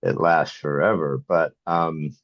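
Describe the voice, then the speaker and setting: A man's voice: a bass voice, fairly even intonation, measured pace. A man hosting a podcast in casual, folksy, jokey interview chat, on a slightly muffled recording. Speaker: podcast host.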